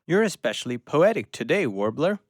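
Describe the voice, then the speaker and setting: A man's voice: a little high, very animated, fairly quick, no echo, studio quality. A man playing a loyal, eager rescue dog in an audio drama, earnest and pleading with his human.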